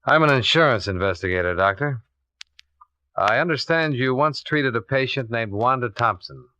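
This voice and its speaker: A man's voice: mid-range voice, animated, normal speed, sharp tone, no echo. A man playing a cool, businesslike insurance investigator in a 1950s radio detective drama, speaking in a clipped, matter-of-fact way. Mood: probing, businesslike. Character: cool, businesslike male insurance investigator narrating his case. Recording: muffled old radio recording, music.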